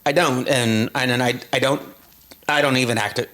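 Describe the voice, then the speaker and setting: A man's voice: medium pitch, steady tone, moderate pace, bright, forceful tone. A man in his fifties on a panel, telling stories and acting out what friends said. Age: 50s. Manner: storytelling, acting out quoted exchanges. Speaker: panel guest. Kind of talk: casual panel discussion.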